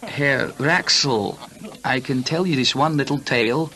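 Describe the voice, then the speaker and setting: Bright-voiced, guarded innkeeper. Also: male voice, medium pitch, low-quality recording. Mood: guarded, ominous. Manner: formal, deliberate, guarded. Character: guarded Scandinavian village innkeeper, courteous and firm. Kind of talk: radio drama acting.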